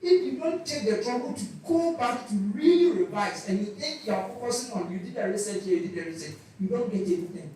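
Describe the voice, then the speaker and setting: A man's voice: sits high, animated, fairly quick, hardly any pauses, a little mellow. A man at a church youth session speaking earnestly about studying, in long, lecturing sentences. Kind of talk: talk on studying and revision. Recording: echoey church hall, mic at a distance.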